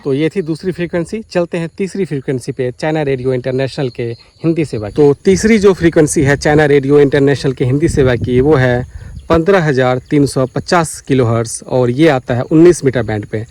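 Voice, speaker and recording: Mellow-voiced man presenting a tutorial vlog. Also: a little high, brisk, a little hiss or hum. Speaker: vlogger presenting a radio tutorial. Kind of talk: explanatory vlog commentary while demonstrating. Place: outdoors beside farm fields.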